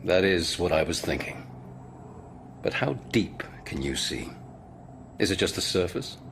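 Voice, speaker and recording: A man's voice: low voice, lively intonation, measured pace, vocal fry, a bit bright, a little hiss or hum, exaggerated voice. An actor playing a guarded, privately insecure lawyer in his thirties in a radio drama, talking in a conversational, questioning way. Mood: probing. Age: 30s. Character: male lawyer nearing forty, probing, guarded, privately insecure. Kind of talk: scripted radio drama acting. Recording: music playing underneath.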